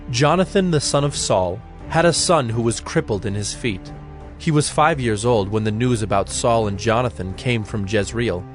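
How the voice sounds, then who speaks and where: Formal male scripture narrator over music. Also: medium pitch. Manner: measured, formal.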